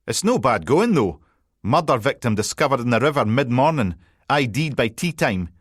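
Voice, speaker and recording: A man's voice: mid-range voice, sing-song, normal speed, dry recording, clean studio sound, voice pushed up. A man voicing a cocky, needling Scottish newspaper editor in an audiobook. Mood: smug teasing. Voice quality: brash, needling.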